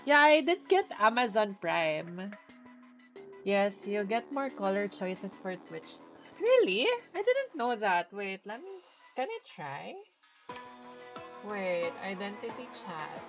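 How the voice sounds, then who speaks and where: A woman's voice: medium pitch, very animated, takes it slowly, faint music behind. A woman with a Filipino accent chats casually on a game livestream, playful and blunt. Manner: chatty, playful, blunt with swearing. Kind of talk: casual livestream chat, mixing English and Tagalog.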